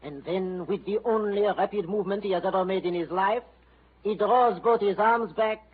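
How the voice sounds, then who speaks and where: A fretful French manservant. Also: male voice, high voice, normal speed, breathy edge. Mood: excited storytelling. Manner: theatrical, exclamatory laments. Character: fretful, excitable French manservant, loyal to his master. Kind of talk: 1940s radio drama acting. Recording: tinny old radio broadcast with music.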